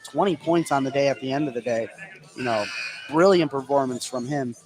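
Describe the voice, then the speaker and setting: A man doing live play-by-play basketball commentary for radio, getting excitable on big plays. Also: mid-range voice, fairly quick. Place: indoor basketball gym. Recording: noisy live gym broadcast. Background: loud game ambience.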